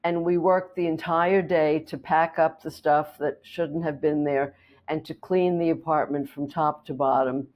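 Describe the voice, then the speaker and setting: A woman real estate agent whose first language is German, calmly explaining her work and telling a client story in an interview. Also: low voice, a little slow. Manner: steady, explanatory, with small restarts.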